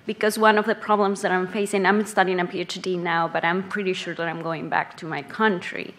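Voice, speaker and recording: A young woman from El Salvador asking a question from the floor of a lecture hall, halting and searching for words at an audience mic. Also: lowish voice, little pitch movement, a bit bright. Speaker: audience member, PhD student. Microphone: audience mic on stand.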